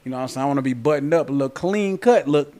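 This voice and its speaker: A man's voice: medium pitch, very fast, mellow. A forty-year-old man chatting casually and informally as a podcast guest. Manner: informal, conversational, repeats "you know what I'm saying". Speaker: podcast guest, cornhole player.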